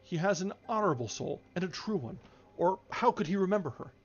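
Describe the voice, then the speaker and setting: A man's voice: high-pitched, lively intonation, fairly quick, husky, lo-fi sound, voice pushed up, heavily acted. A man voicing a lovesick, indignant young woman from a novel in impassioned, plaintive outbursts.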